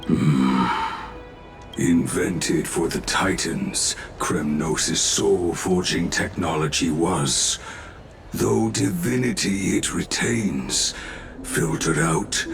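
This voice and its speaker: Very slow, gravelly ancient craftsman. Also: low-pitched, full character voice. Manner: slow, inverted word order. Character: ancient divine craftsman, solemn, cryptic old male smith. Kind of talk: video game voice acting.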